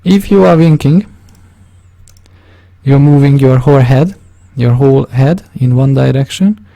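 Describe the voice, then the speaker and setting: Hungarian man narrating a drawing tutorial, gravelly and mellow. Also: medium pitch. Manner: halting, searching for words, self-correcting. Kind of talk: casual screen-recorded tutorial narration.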